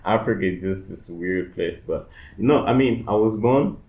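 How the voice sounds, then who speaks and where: A man's voice: lowish voice, moderate pace. Young man, chatty, echoey dull room. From Democratic Republic of the Congo. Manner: rambling, fills with "like" and "you know". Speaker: podcast co-host. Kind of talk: casual chat.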